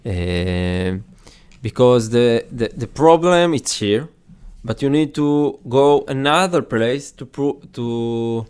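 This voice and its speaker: A man with an Arabic accent co-hosting a casual podcast discussion, speaking halting English and searching for words with many fillers. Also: mid-range voice, darkish tone, clean tone. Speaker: podcast co-host.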